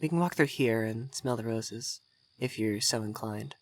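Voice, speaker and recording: A man's voice: fairly low pitch, moderate pace, close to an everyday voice. A man playing a young effeminate vampire mage in roleplay ASMR, vain and refined, coy and easily flustered in an intimate delivery. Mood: proud, refined.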